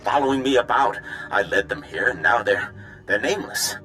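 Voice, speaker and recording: Cranky unhinged scientist journal, sharp edgy voice, pitch all over, muttering, game. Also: male voice, expressive, normal speed, faint music behind, low-quality recording. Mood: paranoid, confused. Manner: muttering, erratic, disjointed. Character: irritable, paranoid male researcher slipping into madness. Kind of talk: video game voice acting, recorded journal.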